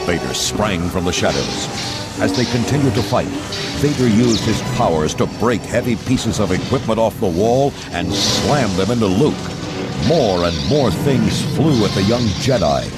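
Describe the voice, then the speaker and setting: Male storybook narrator: low voice, measured dramatic read for kids' adventure, loud score underneath. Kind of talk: dramatic scripted children's read-along narration. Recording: loud music and effects underneath.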